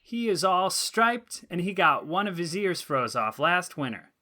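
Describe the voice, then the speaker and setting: An actor playing an earnest, naive schoolboy who reads his composition aloud in a deliberate, declaiming way for an audio drama. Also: high-pitched, big pitch swings, pushed and bright, full character voice. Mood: earnest, proud. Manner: declaiming, deliberate, with childish grammar. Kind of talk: audio drama letter reading.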